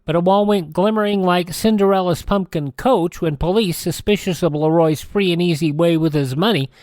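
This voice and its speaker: Higher-voiced American radio host, dry studio sound. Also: male voice, a little mellow, no room sound. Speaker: radio show host and newsreader. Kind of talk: scripted news reading and show introduction. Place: close, dry studio recording.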